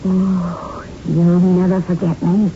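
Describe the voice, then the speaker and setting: An actress playing a jilted, possessive young chorus girl in a 1970s radio drama, speaking with sharp-tongued intensity. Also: fairly low pitch, little pitch movement, slow. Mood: menacing. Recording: muffled, faintly noisy old radio broadcast.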